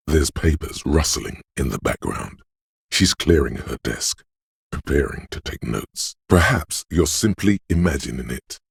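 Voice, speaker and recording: A super deep watchful inner voice for a game, husky and dry close up. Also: male voice, no echo, heavily acted. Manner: slow, measured, deliberate delivery. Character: Perception, a watchful inner sense voice, male. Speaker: game narrator voicing a skill. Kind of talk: scripted video game narration.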